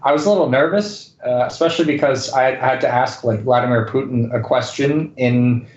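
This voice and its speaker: A man's voice: medium pitch, fairly quick, darkish tone. A man speaking casually as an interview guest, with a hesitant delivery full of fillers. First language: English and Spanish. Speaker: guest, correspondent and news anchor. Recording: dull lo-fi roomy sound.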